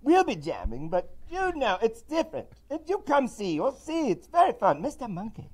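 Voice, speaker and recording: A high-pitched, fussy male monkey who manages a band and brags about limos and big decisions, as a man puts him on. He dodges every question with polished politeness. The voice sits well above a normal male register and stays loud and lively. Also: normal speed, slight background noise, full character voice. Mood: chatty. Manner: boastful, evasive, overly polite. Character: shady smooth-talking male monkey manager. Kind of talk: comic character bit on radio. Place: radio studio.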